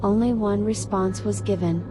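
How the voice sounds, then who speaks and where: A woman's voice: medium pitch, steady tone, moderate pace, noisy background. Woman narrating a horror story. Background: music.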